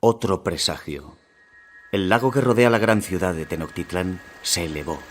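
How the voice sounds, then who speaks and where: An actor playing a grave Aztec warrior in a video game, solemnly narrating his empire's fall in measured Castilian Spanish. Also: low-pitched, soft background music, natural voice. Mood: solemn, foreboding. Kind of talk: scripted dramatic narration, storytelling.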